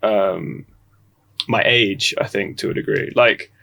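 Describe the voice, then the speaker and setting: A man in his twenties from Croatia talking as a podcast guest, reflective and halting, with frequent restarts. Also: lowish voice, fairly even intonation, creaky, pushed and bright, dry recording. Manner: halting, restarts often, thoughtful. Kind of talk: reflective casual conversation.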